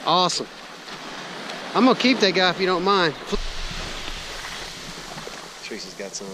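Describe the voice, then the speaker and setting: A man's voice: high voice, wildly swooping pitch, fast, noisy background. A middle-aged man hosting a fishing show, narrating on the spot with enthusiastic exclamations, outdoors on a beach. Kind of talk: excited on-the-spot vlog narration. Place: outdoors on an ocean beach at dawn. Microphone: action camera worn on the body.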